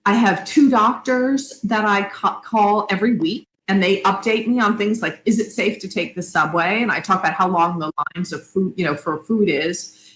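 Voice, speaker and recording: A woman's voice: mid-range voice, normal speed, a bit bright. A middle-aged woman, an academic guest, talking conversationally and explaining things in lists and asides. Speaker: interview guest, academic and author. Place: indoor room on an online video call. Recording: echoey room, some background noise.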